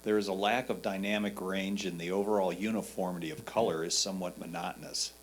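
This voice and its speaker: A man's voice: deep voice, fairly even intonation, fast. A man reading a written photo critique aloud on someone else's behalf. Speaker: reader of a judge's written comments. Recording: faint electrical hum.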